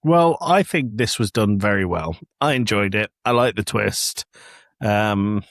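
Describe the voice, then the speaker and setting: A man's voice: fairly low pitch, expressive, unhurried, fairly bright tone, dry recording, clean studio sound. A man giving his opinions casually as a co-host on a review podcast. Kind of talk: casual chat.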